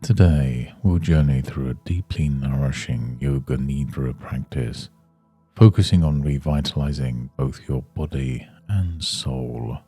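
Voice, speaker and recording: Very deep, slow, gravelly male meditation guide. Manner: soothing, hushed, unhurried delivery. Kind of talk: guided yoga nidra meditation.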